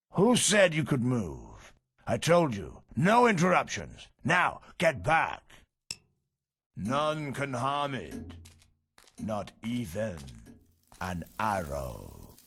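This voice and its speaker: An actor playing a vain, pompous prison warden in a video game, declaiming theatrically like an amateur poet. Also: male voice, fairly low pitch, wildly swooping pitch, drawn-out words, long pauses, a bit bright, breathy edge, heavily acted. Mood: pompous, irritated.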